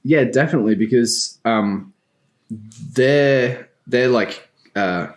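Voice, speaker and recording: A man's voice: mid-range voice, slow. A man in a casual group chat, talking in a blunt, rambling, slangy way. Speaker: podcast panelist.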